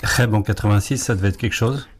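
A man's voice: lowish voice, quick pace. A man interviewing a guest, asking brief and inquisitive questions.

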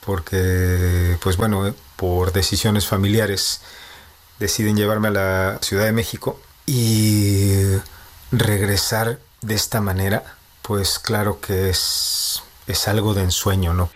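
A man in his thirties with a Mexico City Spanish accent, a composer answering interview questions in a measured, reflective way, thinking aloud as he goes. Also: lowish voice, flat delivery. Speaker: composer and postdoctoral researcher.

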